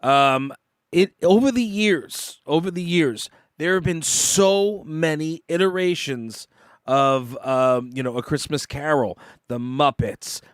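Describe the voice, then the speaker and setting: A man co-hosting a live podcast, talking casually and haltingly, repeating words while searching for names. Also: on the high side, no room sound, studio quality. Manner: halting, repeats words, asks himself what to call things. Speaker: podcast co-host. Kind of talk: casual debate chat.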